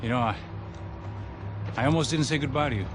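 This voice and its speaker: A man's voice: mid-range voice, brisk, music in the background, natural voice. An actor playing an apologetic male friend in a video game, hesitant and stammering. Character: apologetic male ex-partner, expecting a child.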